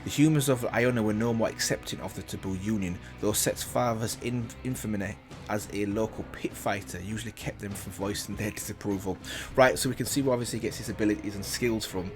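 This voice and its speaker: A man's voice: lowish voice, brisk, few pauses, over music. A man hosting a reaction video, reading a story aloud in a casual way with slips and self-corrections, remarking on it as he goes.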